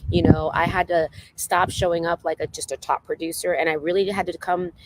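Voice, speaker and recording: A man's voice: fairly high pitch, level tone, brisk, heavy background noise. A man giving a motivational talk in an effusive, heartfelt way, full of "you know".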